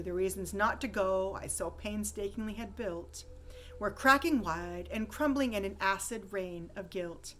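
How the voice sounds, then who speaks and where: A woman reading a rhyming holiday story poem aloud with expressive, dramatic storytelling emphasis. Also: medium pitch. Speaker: poem reader.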